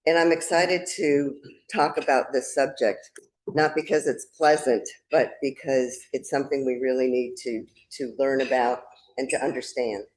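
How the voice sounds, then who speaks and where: A woman from Connecticut with a soft voice, giving an earnest, measured talk as a guest speaker. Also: extremely low pitch, fairly even intonation, rough recording. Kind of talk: presentation.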